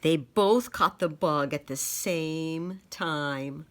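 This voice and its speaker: A woman reading a children's picture book aloud in a dramatic, deliberate storytelling manner. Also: sits low, a bit bright.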